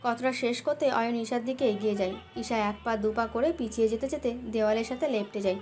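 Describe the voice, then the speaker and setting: A woman's voice: fairly high pitch, steady tone, a little fast, darkish tone, soft background music. A woman narrating a scripted dramatic love story as its storyteller.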